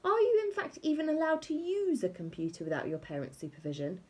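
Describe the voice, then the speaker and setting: Woman voicing an excitable, emphatic gamer schoolgirl. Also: high voice, brisk, voice pushed up. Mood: exasperated. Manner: enthusiastic, emphatic. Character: excitable gamer schoolgirl, keen and a bit exasperated.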